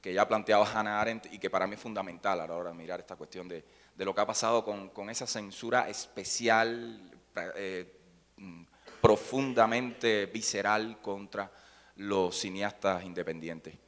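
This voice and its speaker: A man's voice: on the low side, steady tone, moderate pace, fairly bright tone. A man speaking on a panel, thoughtful and reflective, often restarting his phrases. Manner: thoughtful, with frequent restarts and hesitations. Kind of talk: academic panel talk. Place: conference hall. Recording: reverberant hall.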